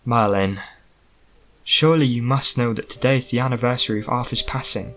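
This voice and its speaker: A man's voice: mid-range voice, a little fast, pushed and bright. A man voicing a worried old physician and guardian who speaks earnestly in an audiobook story. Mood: gentle urging.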